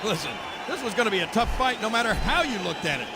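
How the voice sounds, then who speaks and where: A man voicing an excitable, dramatic color commentator for wrestling in a video game. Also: sits high, fast talker, background noise. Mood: excited.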